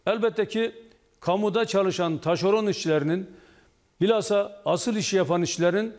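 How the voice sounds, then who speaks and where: A man in his sixties speaking deliberately and haltingly as a union official in a live TV interview. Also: high-pitched, darkish tone. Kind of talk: live TV news interview, explaining. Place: indoor office or studio, video link to TV broadcast. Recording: long reverberant hall echo.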